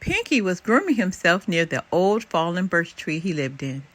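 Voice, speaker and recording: An older woman reading a children's picture book aloud as an expressive storyteller. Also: fairly low pitch, moderate pace. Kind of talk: expressive scripted narration for children.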